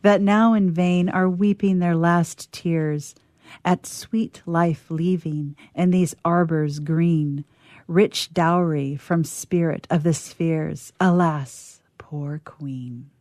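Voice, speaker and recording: Lady reading a poem softly, real slow, dry close sound. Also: fairly low pitch, fairly even intonation, dry recording. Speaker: radio theatre player. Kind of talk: slow, soft poetry reading.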